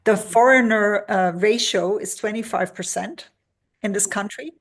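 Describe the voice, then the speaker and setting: A woman from Germany speaks as a guest career expert, recorded over a video call. Her voice sits at a middle pitch, and she answers at length with frequent fillers and restarts. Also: measured pace. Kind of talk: interview answers.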